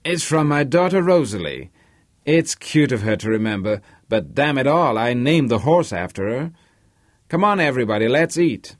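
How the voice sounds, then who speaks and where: A man's voice: medium pitch, lively intonation, normal speed, dry recording, clean studio sound, voice pushed up, close to an everyday voice. A man voicing a boastful, blustering, talkative rich American racehorse owner in an audiobook. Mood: expansive, hearty. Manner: loquacious, blustering. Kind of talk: audiobook character voice.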